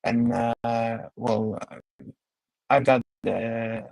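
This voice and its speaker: Slow, gravelly Ukrainian man, hesitant. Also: medium pitch, steady tone, a little mellow, no echo, poor audio. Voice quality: halting, many fillers and restarts. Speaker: guest. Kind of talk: remote interview.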